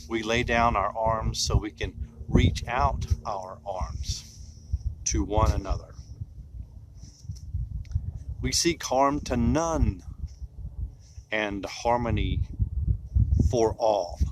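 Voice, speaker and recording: Slow, low-voiced man reciting poetry. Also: background noise. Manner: deliberate phrasing with dramatic pauses.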